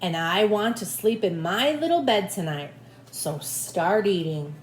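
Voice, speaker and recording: A woman voicing a firm, deliberate storybook village witch delivering judgement, in an echoey room. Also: medium pitch, lively intonation, natural voice. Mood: stern. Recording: echoey room with faint background noise.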